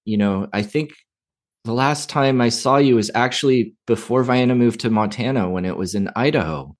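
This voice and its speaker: Soft-spoken male podcast host. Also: mid-range voice. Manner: gentle, slow, friendly. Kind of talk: interview hosting.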